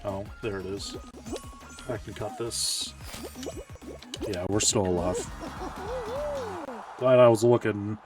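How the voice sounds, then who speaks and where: A male streamer chatting casually while playing a game, rambling and correcting himself, sounding tired and a little hoarse. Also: fairly even intonation, slow pace, pauses often, distant mic, over loud music. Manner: rambling, self-correcting, low-key. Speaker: Twitch streamer. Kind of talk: casual live-stream gaming chat.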